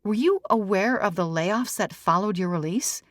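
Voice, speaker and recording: A woman's voice: mid-range voice, normal speed, no echo, studio quality, voice pushed up, natural voice. A woman reading an audiobook, voicing a composed, firm female tabloid editor with an assured, pointed way of talking. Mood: pointed, cool. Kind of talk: audiobook character dialogue.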